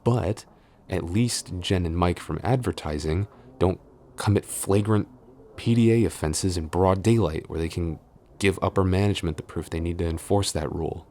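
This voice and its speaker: Very deep-voiced flustered actor as a tsundere boyfriend. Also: male voice, moderate pace, a little mellow, a little hiss or hum. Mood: worried, chiding. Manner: stammering, exasperated, defensive. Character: flustered tsundere boyfriend and office supervisor, scolding yet smitten. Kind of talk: intimate boyfriend audio roleplay.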